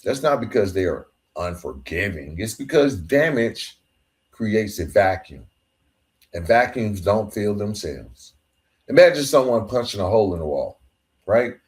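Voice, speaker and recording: A man's voice: on the low side, very animated, unhurried. A man hosting a podcast, giving an earnest, emphatic solo talk in a colloquial way, sometimes stumbling over his words. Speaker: podcast host. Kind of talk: solo motivational monologue.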